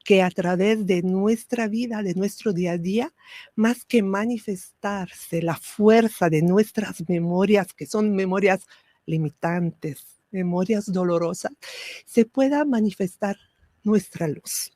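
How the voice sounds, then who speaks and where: Italian-native woman teaching spiritual stuff, real slow and calm, dry close sound. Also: mid-range voice, no echo. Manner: slow, measured, with pauses and fillers.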